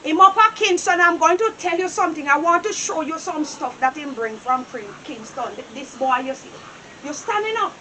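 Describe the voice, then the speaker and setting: A woman playing a fiery, devout Jamaican grandmother in a drama, scolding loudly and repeating her questions. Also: high-pitched, lively intonation, moderate pace. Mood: accusing. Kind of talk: acted comedy drama dialogue. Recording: distant mic with some background noise.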